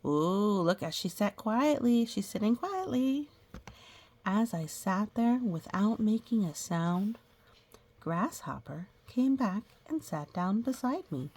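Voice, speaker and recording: Husky, slow-paced woman reading to children. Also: medium pitch, big pitch swings. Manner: gentle, instructive, pausing to explain and ask questions. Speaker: children's story reader. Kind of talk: read-aloud picture book with casual asides to young children.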